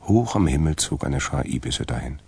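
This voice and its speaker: A man narrates a crime radio drama in a rock-bottom register. His voice is husky and full of gravelly fry, delivering scripted prose. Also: very deep voice, expressive, normal speed, a little mellow.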